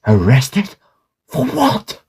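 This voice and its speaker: A man playing a sceptical, concerned learned gentleman in a Victorian horror drama, with a measured, reasoning, formal manner. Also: high-pitched, huge pitch swings, creaky, breathy edge, exaggerated voice. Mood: puzzled, concerned.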